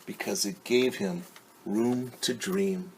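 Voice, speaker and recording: A man's voice: fairly low pitch, slow, darkish tone, a little hiss or hum, low-quality recording. A man teaching young children at story time, reading aloud and explaining in simple, encouraging words with questions to his listeners.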